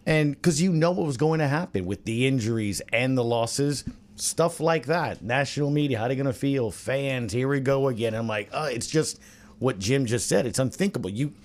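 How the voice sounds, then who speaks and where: A man's voice: medium pitch, moderate pace. A man hosting a casual sports discussion, speaking informally and conversationally as he leads the panel. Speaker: podcast host leading the discussion.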